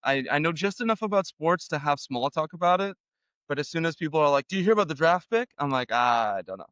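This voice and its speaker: A young man from Argentina, a podcast guest telling a story in a relaxed, self-deprecating way with lots of fillers. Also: fairly high pitch, fast, clear, resonant tone, no room sound, dull audio. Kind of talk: casual storytelling.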